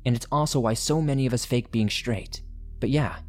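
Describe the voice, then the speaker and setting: A man reading first-person horror stories as a narrator, in a measured, deliberate storytelling manner. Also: medium pitch. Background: background music.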